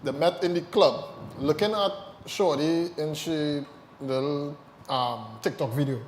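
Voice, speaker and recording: A man's voice: a little high, unhurried, slight background noise. Joking man in echoey room. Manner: joking, storytelling banter. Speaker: talk show co-host. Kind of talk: casual chat.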